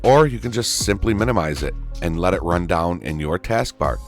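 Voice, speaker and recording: Male gaming tutorial host, low-pitched voice, folksy and casual, faint music underneath. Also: expressive, moderate pace, creaky. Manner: folksy, addresses viewers as y'all and folks. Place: indoors at a gaming computer, voice over screen recording.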